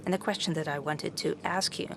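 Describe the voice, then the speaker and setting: A woman gives simultaneous interpretation in a very low voice. Her delivery is formal and measured, with small restarts. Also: steady tone, gravelly, slight background noise.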